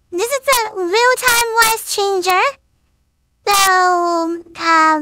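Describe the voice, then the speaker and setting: A man voicing a cute little girl through an AI voice changer, giving a casual, halting software tutorial. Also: very high voice, exaggerated voice. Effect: AI real-time voice changer.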